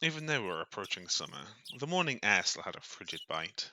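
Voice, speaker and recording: Bright, expressive low-voiced man reading aloud. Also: fast, a little hiss or hum. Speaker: streamer reading a visual novel aloud. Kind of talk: dramatic read-aloud narration.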